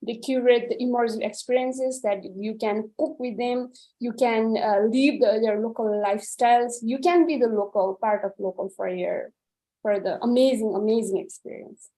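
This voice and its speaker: A woman's voice: a little high, steady tone, normal speed, dark tone, rough recording. A woman from Nepal answering a question as a speaker in an online webinar, earnest, often repeating and restarting words. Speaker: panel speaker.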